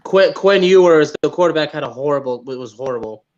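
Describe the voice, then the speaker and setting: Higher-voiced male co-host, slightly muffled. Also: expressive, a little fast, a little mellow. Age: late 20s to early 30s. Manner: blunt, repeats himself for emphasis. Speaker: sports podcast co-host. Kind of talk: casual sports chat.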